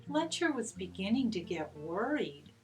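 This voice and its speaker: Slow-paced woman storyteller over guitar music. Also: mid-range voice, sing-song, poor audio. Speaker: storyteller reading aloud to children. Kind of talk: expressive scripted story narration.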